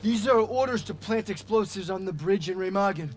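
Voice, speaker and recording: A man's voice: high voice, fairly quick, lightly acted. A man playing a principled American World War Two soldier who stands up to his superiors, in a video game cutscene. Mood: serious. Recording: game soundtrack music behind.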